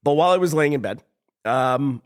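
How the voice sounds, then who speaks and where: A man with a hoarse, sick voice co-hosting a casual podcast, rambling and chuckling at himself. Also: mid-range voice, lively intonation, normal speed, no echo, clean studio sound. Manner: rambling, chuckling, self-deprecating. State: hoarse from a cold. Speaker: podcast co-host. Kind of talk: casual chat.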